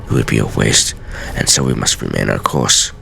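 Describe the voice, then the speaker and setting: Brooding scholar of game journals, acted by a man: grave and theatrical, rock-bottom pitch, swooping intonation. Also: lively intonation, creaky, background noise, heavily acted. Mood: grave, wondering. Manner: grave, measured, theatrical delivery. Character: solemn, brooding scholar writing secret journal pages. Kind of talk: dramatic reading of game lore.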